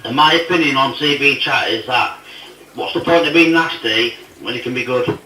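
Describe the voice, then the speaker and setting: A man's voice: medium pitch, moderate pace, sharp tone. A British man in casual, blunt, sweary banter as a user of a chat app. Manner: blunt, foul-mouthed. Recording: echoey, distant playback with faint noise.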